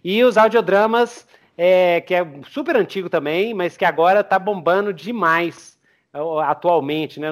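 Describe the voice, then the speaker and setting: A Brazilian man hosting a casual podcast interview, speaking informally with slang and repeated phrases. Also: high voice. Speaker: podcast host.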